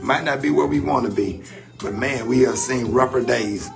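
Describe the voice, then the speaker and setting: A man's voice: mid-range voice, vocal fry, over music. An older man with a Southern American accent hosting a radio morning show, talking casually and loosely about faith and grief. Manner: loose, laughing, drawn-out Southern phrasing. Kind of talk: casual radio banter and reflective talk.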